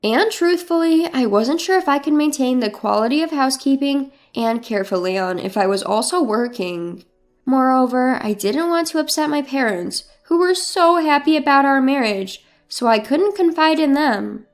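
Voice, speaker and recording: A woman reading a scripted first-person drama story as its narrator. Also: sits high. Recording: music bed behind the voice. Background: background music.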